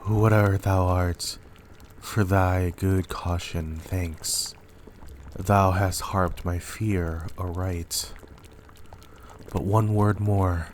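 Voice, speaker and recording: Man as a deep, flat-toned grim king. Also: a little hiss or hum. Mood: grave. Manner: grave, imperious, deliberate. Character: grim, commanding warrior king demanding answers from witches. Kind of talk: dramatic reading of Shakespeare.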